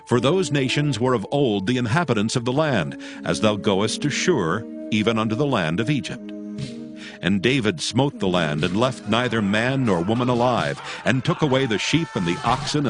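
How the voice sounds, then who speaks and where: A man narrating scripture from a script in a solemn, formal storytelling manner. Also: mid-range voice.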